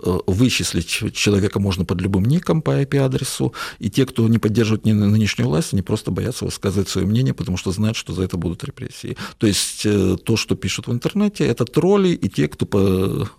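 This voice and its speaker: A man's voice: on the low side, lively intonation, fairly quick, gravelly, few pauses, a little mellow, breathy edge, no room sound, studio quality. A man from Russia, a guest explaining at length in an interview, hesitant with many fillers and restarts. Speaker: guest analyst.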